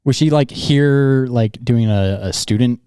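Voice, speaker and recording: A relaxed young man from Utah hosting a podcast, talking in a laid-back, slangy way and laughing easily. His voice is mellow, warm and even, recorded close and dry with no room sound. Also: mid-range voice, measured pace, smooth, no echo. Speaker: podcast host. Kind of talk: casual chat.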